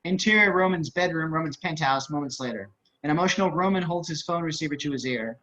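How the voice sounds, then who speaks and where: Bright, higher-voiced man narrating a script. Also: fast talker. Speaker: stage-direction narrator. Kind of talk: scripted narration, table read. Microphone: computer on a video call. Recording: dull, lo-fi video-call audio.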